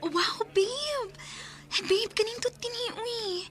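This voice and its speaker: Coy young Filipina girlfriend, Bisaya accent, high pitch, bright tone, evasive, radio drama. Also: slow, heavily acted. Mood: excited, delighted. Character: coy, evasive young girlfriend keeping her romance secret.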